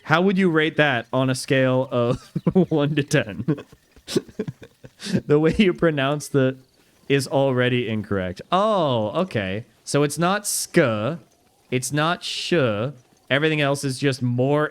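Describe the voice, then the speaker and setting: A man's voice: fairly high pitch, animated, takes it slowly, clean tone. A young man streaming a video game, chatting casually with his viewers, reading their messages aloud and laughing easily. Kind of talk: casual gameplay commentary.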